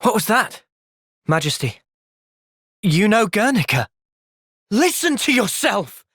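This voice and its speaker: A young man playing an earnest, thoughtful young soldier hero in a fantasy video game's cutscenes, with expressive delivery. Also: high voice, slow, slightly rough, no room sound, clean studio sound, heavily acted. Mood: urgent, distressed. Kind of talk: video game cutscene acting.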